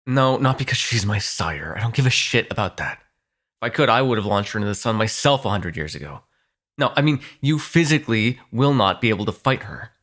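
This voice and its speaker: A lowish-voiced male vampire, acted by a man for an intimate audio roleplay. He is protective and sardonic, talking in halting, foul-mouthed bursts broken by sighs. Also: lively intonation, fairly bright tone. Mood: anxious, explaining. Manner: intimate, halting, swearing, sighing. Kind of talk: ASMR audio roleplay acting.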